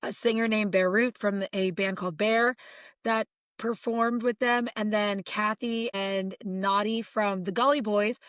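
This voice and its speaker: Hoarse woman co-hosting a podcast. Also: mid-range voice, measured pace, no echo, clean studio sound. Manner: chatty, excited fan storytelling. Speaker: podcast co-host. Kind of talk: casual podcast chat.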